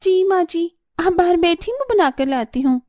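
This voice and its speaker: A woman acts as a gushing young bride in a cartoon story. The voice is very high and swings up and down with wide-eyed excitement. Music plays underneath. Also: moderate pace, no echo, studio quality. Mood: pondering. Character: excitable young modern new bride, easily impressed and shocked. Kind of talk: cartoon story dialogue acting.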